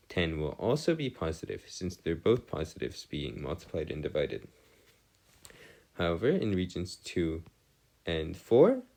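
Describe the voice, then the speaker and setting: Male tutor, deep register, lively melodic swings, bookish phrasing, hesitant stop-and-start explanatory delivery. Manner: formal, bookish wording. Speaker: math tutor. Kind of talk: improvised lesson explanation on trigonometry.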